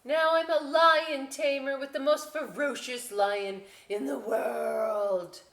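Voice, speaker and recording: A woman voicing an expressive young girl in a children's picture-book read-aloud, with exaggerated, emphatic delivery. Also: high-pitched, slow pace, pitched above the performer's own voice, exaggerated voice. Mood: eager, insistent. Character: expressive young girl, bored, eager, exasperated. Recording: roomy indoor echo.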